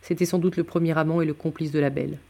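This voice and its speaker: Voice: mid-range voice, fairly even intonation, quick pace, gravelly, dark tone, lightly acted. A man reading a novel aloud as a sombre, bitter, hard-drinking nobleman musketeer, sardonic and abrupt. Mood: careless, cynical.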